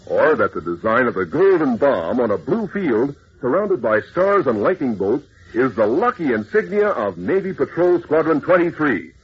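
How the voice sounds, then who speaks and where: A radio announcer, a man with a very rough, husky voice that swoops with enthusiasm. He pitches to children in emphatic 1940s style. The old recording sounds muffled. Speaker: sponsor announcer.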